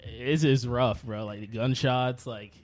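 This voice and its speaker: A man co-hosting a podcast from Brooklyn, speaking casually in relaxed, blunt street slang. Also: medium pitch, slow pace, slight background noise. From Brooklyn, New York. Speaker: podcast co-host. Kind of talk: casual chat.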